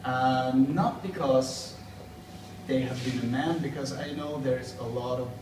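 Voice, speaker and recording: A man's voice: mid-range voice, steady tone, a little slow. A man in his thirties from Sweden, a native Swedish speaker, answering interview questions in a thoughtful, hesitant way, sitting in a school corridor. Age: 30s. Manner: thoughtful, hesitant with fillers and restarts. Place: school corridor with plastic chairs. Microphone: far camera. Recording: echoey hallway from far off, noisy.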